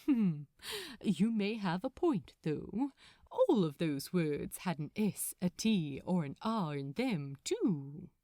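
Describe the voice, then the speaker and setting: A woman's voice: on the low side, very animated, slow pace, mellow, no echo, studio quality. A woman voicing a polite, flustered male angel, proper and hesitant, in a fan fiction reading. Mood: prim. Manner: hesitant, proper, precise wording.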